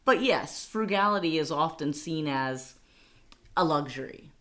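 A woman's voice: low voice, lively intonation, unhurried, a bit bright. A woman co-hosting a casual money podcast, chatty and laughing often. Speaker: podcast co-host.

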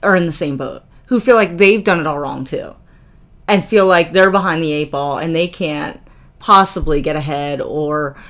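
A woman co-hosting a casual podcast, her voice sitting a little low for a woman. She lays out ideas patiently and breaks into laughter often. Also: fairly bright tone. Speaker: podcast co-host.

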